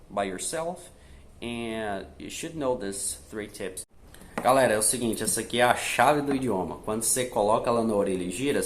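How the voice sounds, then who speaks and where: A young man from Brazil gives learning tips straight to the camera in a relaxed, chatty way. His voice sits a little low, and he drops in small tag words as he goes. His English carries a Brazilian accent, and a faint hum of room noise sits under the recording. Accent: Brazilian Portuguese. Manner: chatty, fillers like né and tá, switches to slow careful English. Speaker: vlogger teaching language tips. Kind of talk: casual direct-to-camera tips.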